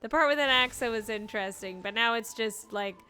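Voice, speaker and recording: A woman streaming a game with casual live commentary, playful, sarcastic and animated in the way she talks. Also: a little high, brisk. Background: game music.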